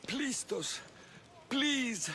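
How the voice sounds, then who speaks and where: An actor playing a timid, kindly Greek healer priest in a dramatic video game, hesitant and deferential. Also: male voice, very high-pitched, expressive, extremely slow, bright, forceful tone, slight background noise, full character voice. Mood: pleading. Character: timid, nervous, kindly male healer priest in ancient Greece.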